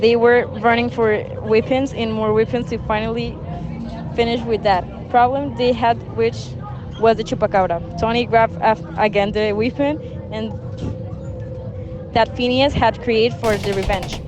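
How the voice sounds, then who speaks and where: Female story narrator, slightly high voice, halting scripted read, clear background noise. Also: steady tone, dull audio. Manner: halting, stumbling over words. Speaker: student narrator of a class radio drama. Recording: noisy recording with background rumble.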